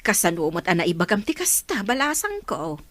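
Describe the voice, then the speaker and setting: A Filipino-accented woman playing a concerned older mother in a radio drama, questioning and gently admonishing her daughter. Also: medium pitch, wildly swooping pitch, fairly quick.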